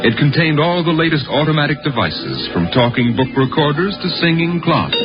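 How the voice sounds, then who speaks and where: An actor narrating a science fiction story gravely in 1950s radio drama style. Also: male voice, on the high side, lively intonation, normal speed, close to an everyday voice. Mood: grave, measured. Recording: dull vintage radio recording, loud music.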